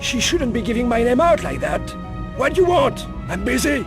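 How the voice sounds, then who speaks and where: An actor playing a miserable, suspicious old ex-janitor in a video game, grumbling and curt. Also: male voice, very high-pitched, big pitch swings, moderate pace, gravelly, music in the background, exaggerated voice. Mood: irritable, suspicious. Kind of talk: video game cutscene acting.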